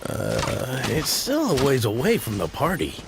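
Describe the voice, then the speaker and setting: A man's voice: medium pitch, wildly swooping pitch, a little slow, vocal fry, exaggerated voice. An actor playing a nervous, evasive, cowardly man in a video game, hesitant and stammering. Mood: uneasy. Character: nervous, evasive, cowardly male party guest.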